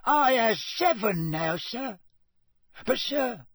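A man voicing a small, anxious, adoring house-elf servant with a squeaky voice and servile, broken speech for an audiobook. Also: animated, slow, fairly bright tone, husky, dry recording, studio quality, full character voice. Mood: delighted. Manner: servile third-person speech with broken grammar. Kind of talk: audiobook character voice.

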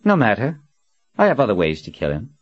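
A man's voice: fairly low pitch, wildly swooping pitch, brisk, no echo, highs cut off, voice pushed up. A man voicing a cocky young male assassin, boyish and mocking, with falsely polite, offhand talk. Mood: smug.

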